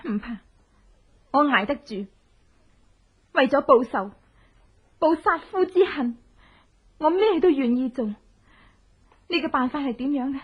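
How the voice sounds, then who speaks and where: An actress with a Hong Kong Cantonese accent plays a determined, desperate widow bent on revenge in an intense, melodramatic horror radio drama. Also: female voice, high voice, expressive, slow pace, long pauses. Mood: resolute, vengeful. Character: vengeful widow in hiding, determined, desperate, posing as beggar. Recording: muffled lo-fi radio drama recording.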